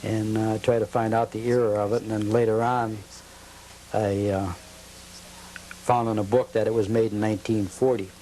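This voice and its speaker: A man's voice: fairly low pitch, little pitch movement, normal speed, warm tone, poor audio. A man answering interview questions about his collection in a plain, matter-of-fact way, reciting dates. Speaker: guest collector. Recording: faint noise, old cable TV audio.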